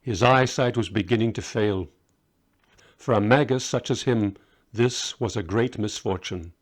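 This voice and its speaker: A man's voice: on the low side, measured pace. A man reading his own short story aloud as a scripted audiobook narration.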